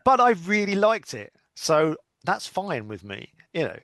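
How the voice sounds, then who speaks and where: A man's voice: high-pitched, very animated, unhurried, a bit bright, dry recording, clean studio sound. A man with an English accent hosting a podcast in a casual, chatty review style. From England. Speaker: podcast host.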